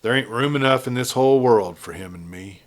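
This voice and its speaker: A man's voice: medium pitch, a little fast, lightly acted. A man voicing a ruthless, blunt outlaw gang leader with a folksy cowboy drawl in a Western story. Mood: uneasy, menacing.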